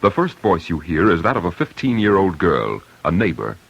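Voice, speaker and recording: Low solemn radio announcer, muffled sound. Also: male voice, big pitch swings, normal speed, vocal fry, fairly bright tone, slightly rough. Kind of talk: scripted old-time radio narration. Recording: muffled old radio with faint music.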